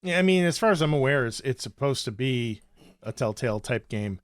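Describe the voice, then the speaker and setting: A man's voice: medium pitch, lively intonation, fairly quick, no echo, clean studio sound. A man co-hosting a podcast, talking in a casual, conversational style. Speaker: podcast co-host. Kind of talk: casual chat.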